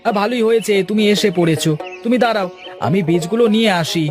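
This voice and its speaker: A man voicing an excitable, vengeful farmer, an elder brother in a cartoon fairytale, with emphatic delivery. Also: high voice, fast, close to an everyday voice. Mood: falsely kind. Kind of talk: animated moral fairytale dialogue. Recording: music behind the voice.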